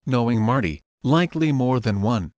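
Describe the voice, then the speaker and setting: A man's voice: medium pitch, expressive, moderate pace, a little mellow, no room sound, lo-fi sound, close to an everyday voice. A man voicing a wily, flippant pirate captain in a motion comic, talking in florid, roundabout phrases. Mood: flippant, evasive. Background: background music.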